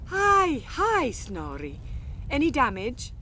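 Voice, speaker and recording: A woman playing an excitable, blunt small-town woman in an audiobook, speaking emphatically. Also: sits high, takes it slowly, a little hiss or hum, pitched above the performer's own voice, exaggerated voice. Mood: awkward concern. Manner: emphatic, exclaiming.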